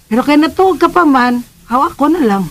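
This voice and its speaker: An actress playing a gossipy, sharp-tongued middle-aged mother in a radio drama, scolding and sardonic, with a Filipino accent. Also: sits high, expressive, brisk.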